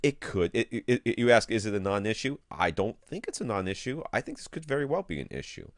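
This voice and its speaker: A man with a deep voice talks through technical questions as a podcast co-host. His delivery swings freely up and down, and he is blunt, emphatic and fond of a joke. The sound is close and dry. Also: big pitch swings, fast talker, no echo. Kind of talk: casual technical chat, answering listener questions.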